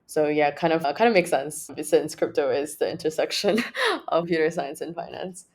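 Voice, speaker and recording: A woman's voice: deep voice, normal speed. A young woman from Malaysia, a startup co-founder, chatting casually as an interview guest with lots of fillers and restarts. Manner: relaxed, many fillers and restarts.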